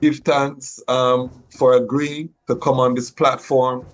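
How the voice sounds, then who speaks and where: A middle-aged man welcomes listeners and guests to his show. His delivery is emphatic and rhythmic, with frequent restarts mid-phrase. His voice sits at an ordinary middle pitch for a man. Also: flat delivery, slow, faint music behind. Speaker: podcast host. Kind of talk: podcast interview.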